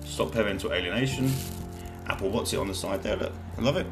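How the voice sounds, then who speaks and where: A man's voice: fairly low pitch, fast, over music. A British man casually hosting his own podcast, rambling, joking at himself and swearing freely. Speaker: podcast host. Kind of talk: casual solo podcast chat.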